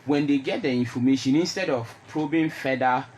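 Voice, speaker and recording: A man's voice: medium pitch, brisk, darkish tone. A man with a Ghanaian accent speaking emphatically and explaining his views in a conversational panel discussion. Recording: noticeable background noise.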